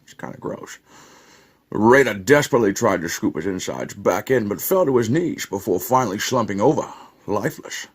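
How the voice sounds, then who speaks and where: A man doing a parody of an old Scottish film star, narrating a mock-epic fantasy battle for a video game in a husky, gravelly voice with halting, muttered asides. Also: on the low side, animated. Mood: dramatic, wry. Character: parody elderly Scottish screen legend narrating fantasy battle, wryly amused.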